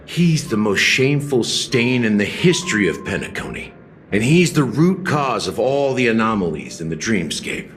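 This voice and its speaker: An actor playing a worldly, cynical detective guide in a video game, speaking in a theatrical, rhetorical way. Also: male voice, mid-range voice, big pitch swings, normal speed, a bit bright. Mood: knowing, probing. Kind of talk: scripted video game dialogue. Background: music.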